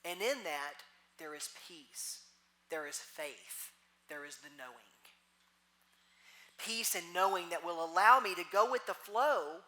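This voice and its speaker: A middle-aged woman minister with a Texas accent, preaching a gentle, reflective sermon that slows into soft pauses. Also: on the low side, expressive.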